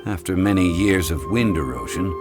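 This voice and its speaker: An actor playing a calm, formal ancient scholar-god who lectures in a measured way, in a game cutscene. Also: male voice, low voice. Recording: game audio with music.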